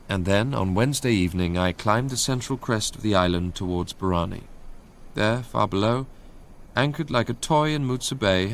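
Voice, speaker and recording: An actor playing an educated, introspective Englishman narrates in a measured, literary way, with an English accent, in a radio drama. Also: on the low side, slight background noise, natural voice. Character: educated Englishman recalling his romantic obsession, wistful and introspective.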